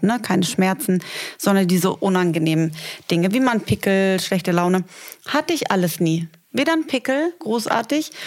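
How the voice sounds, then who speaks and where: Female podcast co-host, thirties, mid-pitched voice, candid and chatty, casual storyteller who turns aside to address listeners. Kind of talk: frank, casual conversation.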